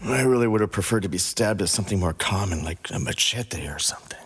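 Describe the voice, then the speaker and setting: A man's voice: fairly low pitch, animated, fast talker, slight background noise, natural voice. A man playing a bewildered, sardonic stabbing victim in a dramatised fan fiction podcast, muttering dryly. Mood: dazed, wry.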